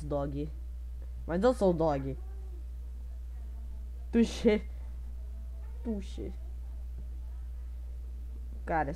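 Brazilian female gaming YouTuber, lowish voice, casual commentary, chatty and slangy. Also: mellow. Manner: slangy, chatty, thinking aloud.

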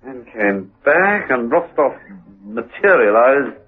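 An actor playing a suave, inquisitive secret agent in a radio drama, clipped and urbane. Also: male voice, mid-range voice, very animated, slow. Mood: coolly probing. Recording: muffled, lo-fi radio recording.

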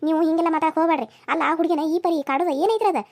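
Woman as protective neighbour, outspoken, indignant, very high voice, fast, North Karnataka accent, melodrama. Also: a little mellow. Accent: North Karnataka Kannada. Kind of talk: family melodrama.

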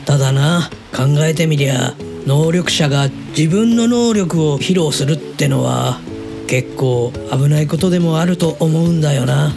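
A man playing an easygoing, chatty middle-aged uncle who is soft on women, in a Japanese audio drama. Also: fairly high pitch, unhurried, vocal fry, over music. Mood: chatty, amused. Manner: casual, blunt, chatty.

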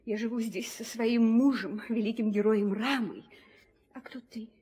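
A timid devout young wife in an old 60s radio play, high slow voice. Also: female voice, fairly even intonation, dark tone, slightly rough. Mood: meek, reverent. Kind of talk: 1960s theatrical audio drama acting. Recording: old studio recording with music.

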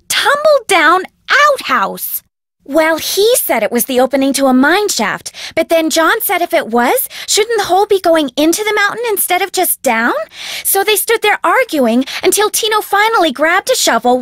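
Gossipy young game girl, dramatic, super high voice that swings around a lot. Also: very animated, moderate pace, a bit bright, no room sound, clean studio sound, exaggerated voice. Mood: exasperated, gossipy. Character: gossipy, excitable, girlish young woman, easily smitten. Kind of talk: video game character acting.

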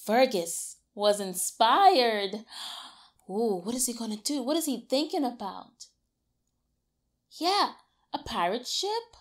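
A woman's voice: a little high, expressive, slow pace, pauses often. A young adult woman reading a storybook aloud to young children in a theatrical, exaggerated way, coaxing them to join in. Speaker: children's read-aloud teacher. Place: small colorful classroom-like room, indoors.

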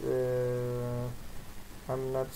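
A presenter who is not a native English speaker, reading through a slide presentation in halting, non-native phrasing. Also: mid-range voice, monotone, music in the background, low-quality recording. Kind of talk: slide presentation, read from text with asides.

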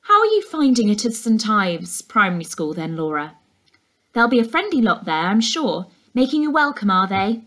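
A woman's voice: medium pitch, sing-song, moderate pace, fairly bright tone. A woman voicing a nosy, falsely sweet middle-aged housekeeper from a children's novel, wheedling and insinuating. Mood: falsely friendly.

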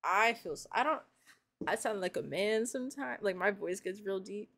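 A woman's voice: medium pitch, moderate pace, a bit bright. An American woman chatting casually as a reaction co-host, in a self-deprecating way.

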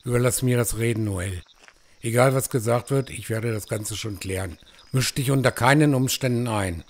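A man's voice: medium pitch, moderate pace, close to an everyday voice. Man playing a firm, protective hunter. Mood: firm, urgent. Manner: firm, placating. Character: calm, protective village hunter taking charge. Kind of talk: fantasy audio drama acting.

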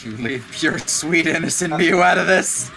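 A man's voice: fairly high pitch, moderate pace, creaky, sharp tone. A man in casual, joking chat as a co-host on a gaming show. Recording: music behind the voice. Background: video game music.

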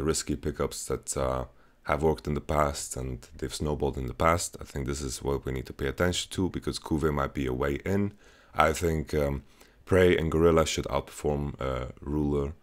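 Young male esports analyst, very low voice, slightly hoarse, measured, lots of ums, headset mic in a small bedroom. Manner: measured, many filler words. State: voice getting hoarse. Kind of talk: solo match preview to camera.

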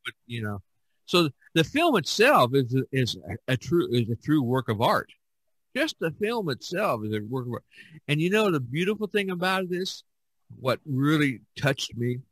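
A man hosting a casual podcast conversation, talking in a halting way with many fillers and restarts. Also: mid-range voice, sing-song, a bit bright, slightly rough, no echo, rough recording. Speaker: podcast host.

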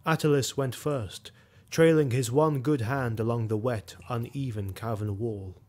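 An actor narrating a sword-and-sorcery audio drama as a solemn storyteller, measured and literary. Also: male voice, mid-range voice, steady tone, a little mellow, close to an everyday voice.

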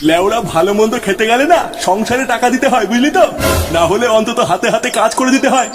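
একজন পুরুষের অভিনয়ে চড়া গলার বদরাগী বুড়ো বাবা. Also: male voice, very high-pitched, fast, over music. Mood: angry scolding. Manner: scolding, profane. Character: foul-mouthed, hot-tempered ageing father and doting grandfather. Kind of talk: comedy cartoon dubbing. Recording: clearly noisy track.

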